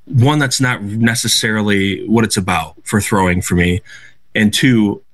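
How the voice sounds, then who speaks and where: Earnest low-voiced young man. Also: fairly even intonation, unhurried, fairly bright tone. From United States. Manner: reflective, earnest, with frequent restarts. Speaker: guest athlete. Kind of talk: radio interview.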